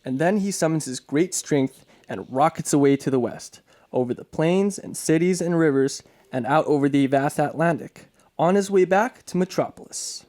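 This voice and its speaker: A man's voice: a little high, moderate pace, a little mellow. A man reading dramatic scripted narration for a radio play, now and then stumbling over a word. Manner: stumbles and repeats words while reading.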